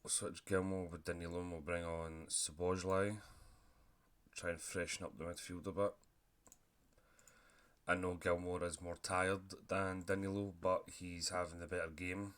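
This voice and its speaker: Male gaming commentator, deep voice, sore throat, relaxed chatty delivery with frequent throat-clearing. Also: moderate pace. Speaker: gaming YouTuber. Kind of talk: casual commentary over a football management game.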